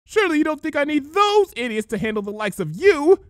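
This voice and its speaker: A man voicing a villainous female changeling impostor in a storybook, theatrical, taunting and quick to exasperation. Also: very high voice, very animated, dry recording, clean studio sound, pitched above the performer's own voice. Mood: defiant. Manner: theatrical, declamatory shouting.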